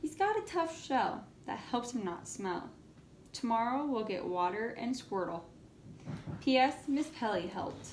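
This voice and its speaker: A woman's voice: a little high, big pitch swings, unhurried, darkish tone. Earnest schoolchild, read by a woman, echoey room. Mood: cheerful, warming up. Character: earnest, indignant young schoolchild writing letters. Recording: echoey room, faint background noise.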